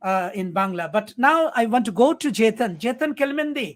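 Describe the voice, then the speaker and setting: Man hosting, very high voice, repetitive and insistent. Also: lively intonation, fast talker, a bit bright. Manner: repetitive, insistent, code-switching. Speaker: program host. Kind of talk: hosting an online poetry event.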